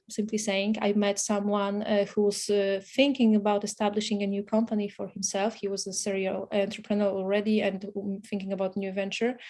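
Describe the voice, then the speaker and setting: A woman's voice: medium pitch, darkish tone. A woman from Poland, a native Polish speaker with a Polish accent, telling her story casually as an interview guest. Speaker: podcast guest, startup investor.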